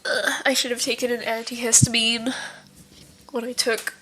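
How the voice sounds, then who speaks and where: A woman's voice: fairly high pitch, flat delivery, normal speed, pushed and bright, a little hiss or hum. A woman streaming, chatting casually with viewers, rambling with lots of fillers and a stuffy nose from hay fever.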